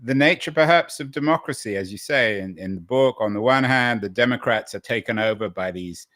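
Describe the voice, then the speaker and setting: A man's voice: medium pitch, brisk, fairly bright tone, no echo. Male host, rambly probing questions, dry close sound. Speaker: podcast host and interviewer. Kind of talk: interview questions.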